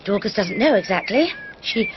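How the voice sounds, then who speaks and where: A woman's voice: medium pitch, animated, normal speed, close to an everyday voice. An actress playing a chatty young English woman with a Received Pronunciation accent in a radio drama. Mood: gossipy. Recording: dull, noisy radio drama recording.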